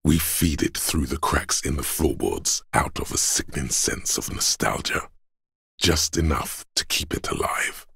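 An extremely deep, gravelly inner voice. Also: male voice, a bit bright, no echo, clean studio sound, full character voice. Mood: solemn, menacing. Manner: slow, solemn, portentous. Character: inner voice of grim, stoic endurance, male, zealous. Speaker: voice actor. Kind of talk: dramatic game narration, second person.

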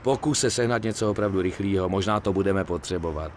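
A man's voice: deep voice, darkish tone, a little hiss or hum, lightly acted. A man voicing a weary, streetwise middle-aged gangster in a Czech video game dub. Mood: businesslike. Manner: colloquial, grumbling, swearing.

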